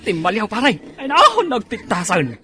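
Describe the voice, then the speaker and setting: A man with an Ilocano accent playing a lazy, girl-chasing, henpecked husband in an amateur comedy drama, with a husky voice. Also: high-pitched, very animated, normal speed, crowd noise. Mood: excited, leering. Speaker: actor in comedy skit.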